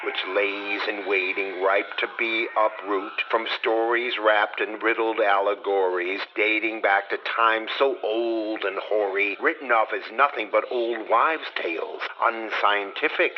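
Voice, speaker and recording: An actor playing a cryptic bardic narrator, chanting rhymed, declamatory verse in an audio drama. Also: male voice, fairly low pitch, lightly acted. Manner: incantatory, rhyming, declamatory. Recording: dull, noisy, with ambience behind.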